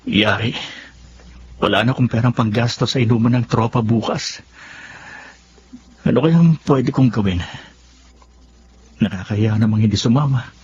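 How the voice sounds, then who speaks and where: A man's voice: medium pitch, normal speed, pauses often, lightly acted. A man with a Filipino accent playing a glib, carefree young office worker who lies easily in a radio drama. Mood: worried, scheming. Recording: muffled audio with faint background noise.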